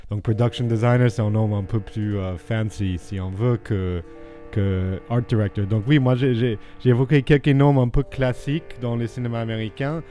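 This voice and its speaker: Mellow male film commentator over soft music. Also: medium pitch, steady tone, normal speed. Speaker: film critic, radio contributor.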